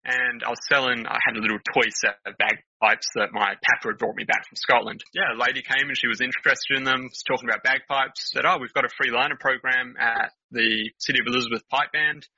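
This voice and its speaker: A man's voice: mid-range voice, steady tone, quick pace, few pauses, sharp tone, husky, dry recording, muffled recording. A man with an Australian accent, a podcast guest chatting informally and telling stories in a casual way. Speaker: podcast guest, counsellor and motivational speaker. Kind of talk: casual storytelling chat.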